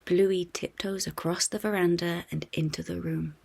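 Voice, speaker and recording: A woman reading a children's storybook aloud in an expressive scripted narration for young listeners. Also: fairly low pitch, moderate pace, vocal fry, breathy edge.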